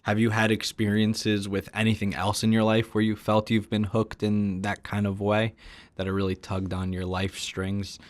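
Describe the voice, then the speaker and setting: A man's voice: lowish voice, monotone, moderate pace. A man co-hosting a podcast, asking casual questions in a jokey, informal way. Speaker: podcast co-host, interviewer. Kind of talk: casual chat with joking questions.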